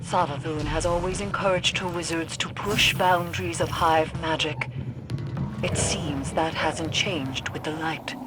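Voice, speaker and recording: A woman's voice: low-pitched, fairly even intonation, unhurried, loud music behind the voice. A woman playing a grave, cryptic female mystic who guides warriors in a game, speaking formally and theatrically. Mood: ominous. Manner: solemn, formal, ominous. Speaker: mission guide. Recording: noisy game sound effects behind.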